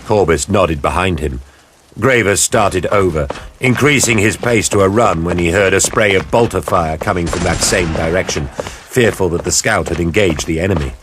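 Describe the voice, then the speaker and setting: A man narrating an audio drama in a measured, grave storytelling style. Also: deep voice, noisy background. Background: music.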